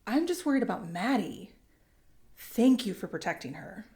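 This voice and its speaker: A woman's voice: mid-range voice, very animated, normal speed, darkish tone. An actress playing an anxious, earnest woman recovering in physical therapy. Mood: worried.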